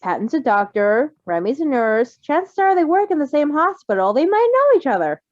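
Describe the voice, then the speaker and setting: A woman's voice: high-pitched, big pitch swings, normal speed, no echo, dull audio. A woman reading a fan fiction story aloud, then chatting casually about it and laughing often.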